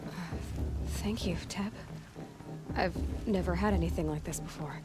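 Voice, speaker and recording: A woman's voice: fairly low pitch, normal speed, lightly acted. A woman playing a determined, guarded young outcast hunter in a video game. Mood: wary, puzzled. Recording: music behind the voice.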